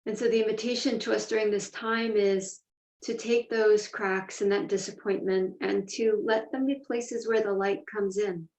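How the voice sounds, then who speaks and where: A woman teaching a guided meditation, speaking in a measured, deliberate way with pauses between phrases. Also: mid-range voice, steady tone, darkish tone. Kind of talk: guided meditation, slow instruction. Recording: echoey room, distant mic, dull sound.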